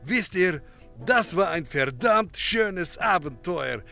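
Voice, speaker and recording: Hearty loud barkeep, slow and swinging all over, comedy radio play, jovial. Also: male voice, sits high, expressive, gravelly, soft background music, heavily acted. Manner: expansive, hearty. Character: jovial, boisterous male bar owner, crude storyteller. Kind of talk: comedy audio drama acting.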